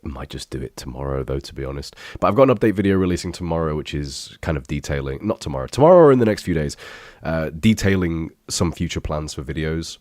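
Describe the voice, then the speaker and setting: A young man with an extremely deep voice, thick and stuffy from a cold. He rambles casually and pokes fun at himself, dropping the odd swear word. The sound is dry and close, with no room echo. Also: lively intonation, quick pace, a little mellow. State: congested, bunged up from illness. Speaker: youtuber reacting to his old videos. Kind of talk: casual reaction commentary.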